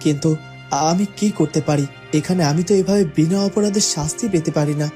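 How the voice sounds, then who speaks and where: A man's voice: a little high, brisk, dark tone, faint music behind, close to an everyday voice. A man voicing a troubled, hesitant young man in an earnest, pondering cartoon monologue. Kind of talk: cartoon dubbing, dramatic monologue.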